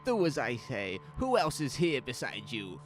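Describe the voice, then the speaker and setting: A man's voice: mid-range voice, wildly swooping pitch, normal speed, faint music behind. An actor playing a frantic, paranoid father urgently ordering his family around in a horror audio drama. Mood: urgent, commanding. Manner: urgent, forceful, insistent.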